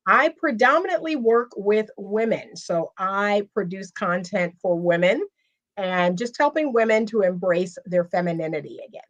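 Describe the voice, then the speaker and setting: A woman's voice: medium pitch, lively intonation, moderate pace. Composed woman therapist on lo-fi audio. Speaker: therapist and relationship coach, guest.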